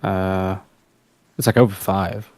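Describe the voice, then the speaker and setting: A man's voice: deep voice, steady tone, slow, darkish tone. A man chatting casually on a livestream, giving critique in a hedging, explanatory way. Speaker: guest giving critique on an audio production.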